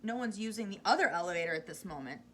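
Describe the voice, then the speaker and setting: A woman voicing an eccentric male candy maker, brisk, theatrical and bossily cheerful. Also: medium pitch, expressive, natural voice. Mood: giddy, commanding.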